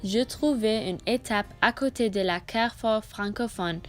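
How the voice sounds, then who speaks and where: A woman's voice: medium pitch, unhurried, soft background music. A young woman narrating a school-project video tour in a careful, read-aloud manner. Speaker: student presenting a school project. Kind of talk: scripted voice-over narration.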